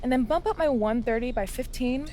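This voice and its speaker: A woman playing a brisk, bossy but friendly executive in a video game, with a businesslike manner. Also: sits high, dark tone, soft background music, lightly acted. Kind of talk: scripted video game dialogue.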